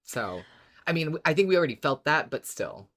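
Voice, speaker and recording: Very deep-voiced woman in casual banter. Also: very animated, normal speed, fairly bright tone. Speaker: podcast co-host.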